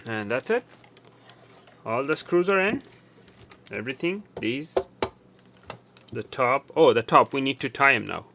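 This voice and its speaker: A man presenting a casual hands-on repair demonstration, going step by step in a halting way and correcting himself. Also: medium pitch, animated, creaky, noisy background. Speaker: tutorial presenter.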